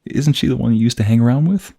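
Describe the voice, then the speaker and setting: A man playing a tender, protective young mobster in an intimate ASMR romance roleplay, speaking softly and haltingly in a husky, gravelly, breathy voice. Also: mid-range voice. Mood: amused, curious. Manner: soft, halting, gentle, intimate.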